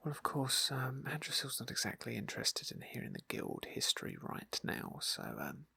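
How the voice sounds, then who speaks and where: A man speaking in a soft, breathy hush, often near a whisper, narrating an ASMR roleplay game playthrough. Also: medium pitch, big pitch swings, moderate pace. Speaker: ASMR gaming video host and roleplayer. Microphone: close microphone, dry.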